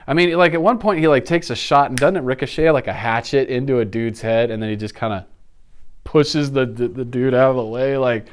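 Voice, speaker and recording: A man in his thirties with a clear, smooth voice and a middling pitch. He talks casually, like a guest on a chat about films and games, and likes to act out reactions as he tells a story. It sounds like a seated indoor recording. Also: a little fast. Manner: animated storytelling, acts out reactions and sound effects. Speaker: interview guest. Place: indoor office studio.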